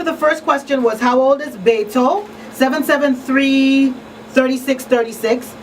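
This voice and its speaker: Higher-voiced woman hosting live radio. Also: recorded from a distance, rough recording. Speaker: radio talk show host. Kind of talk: live radio chat with listeners. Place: small radio studio. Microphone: studio microphone on boom arm. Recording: off-mic room sound, faint noise.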